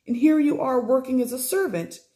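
A woman reading aloud voices a prosperous young stepmother, high, breathless and effusive. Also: fairly quick, dark tone, lightly acted. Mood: gushing, tearful.

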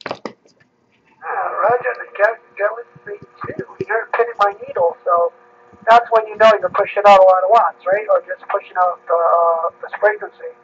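A man's voice: high-pitched, normal speed, breathy edge. A man chatting casually as a radio operator, his voice coming through a CB radio. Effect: CB radio transmission. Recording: dull, noisy CB radio audio.

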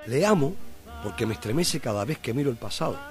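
A man's voice: mid-range voice, animated, fast, faint music behind. A man hosting a radio show, reading a poetic text in an earnest, declamatory way. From Cuba. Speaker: radio host.

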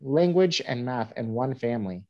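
A man's voice: medium pitch, animated, normal speed, mellow, muffled recording, close to an everyday voice. A young man reading the part of a wry frontier newspaperman, giving a florid monologue in a staged play reading. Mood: wry, musing. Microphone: video call.

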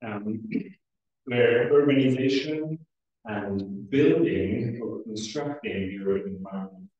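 Very reverberant room, distant mic, middle-aged man, medium pitch, halting conference speaker, hesitant pauses. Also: darkish tone, lo-fi sound. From Switzerland. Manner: halting, hesitant, pausing often. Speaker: academic conference chair. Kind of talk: conference welcome speech. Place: indoor lecture room at a lectern. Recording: very echoey room, far from the microphone.

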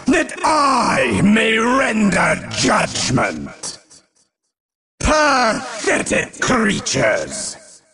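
An arrogant demon prince delivers wrathful game villain lines in a high-pitched male voice that swings wildly. The delivery is imperious and very slow, with faint music behind it. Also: creaky, a bit bright, exaggerated voice. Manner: bombastic, imperious, drawn-out delivery. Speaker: fan voice actor.

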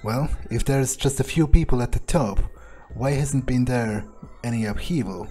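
Guy playing a vampire newbie in a game, pondering out loud, kinda impatient and sweary, average male pitch. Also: normal speed, natural voice. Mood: probing. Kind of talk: improvised in-character game roleplay. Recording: faint music behind the voice.

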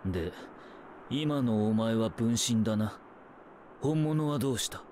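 A man's voice: mid-range voice, expressive, a little mellow, slight background noise, lightly acted. Man playing stern, composed young ninja commander. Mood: serious, analytical. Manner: stern, deliberate, explanatory. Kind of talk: Japanese anime game voice acting. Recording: background music bed.

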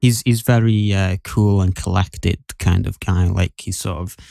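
A man in a casual podcast discussion, speaking in a hesitant, halting way as he talks about his acting. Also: low voice, fairly even intonation, vocal fry, mellow, no room sound, studio quality. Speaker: podcast guest actor.

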